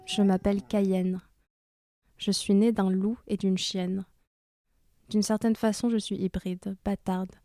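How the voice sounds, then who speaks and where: A woman voicing a calm, earthy female wolf-dog hybrid in a podcast drama, speaking in a measured, intimate way. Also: medium pitch, no room sound. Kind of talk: podcast fiction monologue.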